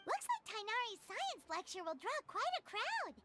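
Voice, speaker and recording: A woman voicing a tiny, excitable, chirpy fairy companion in an anime game, with a squeaky childlike voice. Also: normal speed, heavy background noise, full character voice. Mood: curious, chatty. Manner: refers to self in third person, exclamatory.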